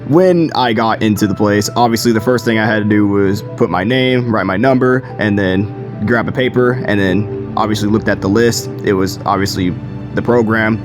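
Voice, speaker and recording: A young man in his late teens telling a story in a chatty, slangy, self-deprecating way. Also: on the low side. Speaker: video creator. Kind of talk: casual storytime vlog. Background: music.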